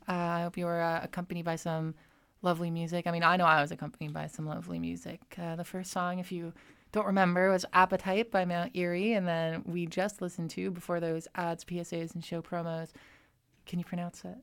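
A woman radio host chatting casually, low-key and halting with many fillers. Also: clean tone. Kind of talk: casual chat.

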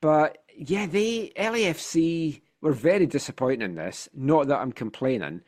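A man's voice: on the high side, lively intonation, moderate pace, darkish tone. A Scottish man hosting a football podcast in casual chat, telling things with wry animation, slightly congested from a sinus infection. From Scotland.

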